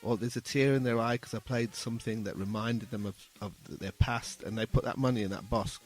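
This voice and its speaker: A man's voice: lowish voice, fairly even intonation, fairly quick, faint music behind. A middle-aged man talking casually as an interview guest, earnest and repeating words as he gets going. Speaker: musician guest, saxophone busker.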